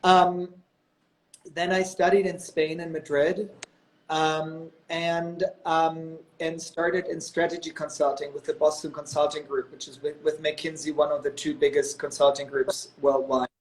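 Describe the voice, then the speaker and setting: A man's voice: on the high side, level tone, a little slow, a little hiss or hum, poor audio. Chatty man in his thirties. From Germany. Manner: chatty and storytelling, lots of "you know" and "um". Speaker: guest, company executive. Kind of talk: casual interview on a live video call. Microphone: video call.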